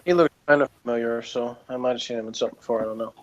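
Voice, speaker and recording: A man's voice: mid-range voice, steady tone, rapid-fire. Man chatting casually, dull lo-fi sound. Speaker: co-reviewer. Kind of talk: casual chat. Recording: dull lo-fi voice connection.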